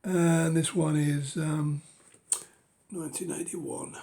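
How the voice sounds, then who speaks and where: A middle-aged man with a cold, speaking hoarsely and haltingly in a casual show-and-tell of his books, often dropping to a whisper. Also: on the high side, level tone, dark tone. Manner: halting, many ums and uhs, drops to a whisper. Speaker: book collector vlogger.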